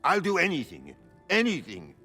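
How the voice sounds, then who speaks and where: A man's voice: a little high, wildly swooping pitch, normal speed, bright, forceful tone, exaggerated voice. A man playing a duped, embarrassed palace conspirator in a fantasy video game, flustered and pleading. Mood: desperate, pleading. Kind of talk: dramatic fantasy game dialogue. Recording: long hall reverb, background music.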